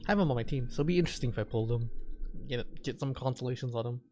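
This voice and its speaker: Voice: mid-range voice, very fast, a little mellow, a little hiss or hum. A game streamer giving casual live commentary, rambling and repeating phrases while thinking aloud.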